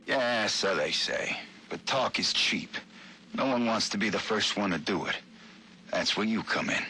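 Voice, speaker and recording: An actor playing a crooked, coaxing street cop in a video game, talking in a slick, casual, streetwise way. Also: male voice, fairly low pitch, expressive, unhurried, bright, forceful tone, a little hiss or hum. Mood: coaxing, pushy. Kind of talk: video game voice acting, audio log.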